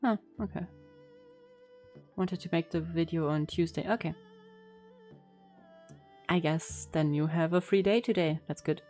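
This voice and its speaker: A woman streaming a game in a casual way. Her voice is soft-edged and mellow, a little low, as she reacts and reads aloud over faint music. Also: unhurried, long pauses. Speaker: gaming streamer.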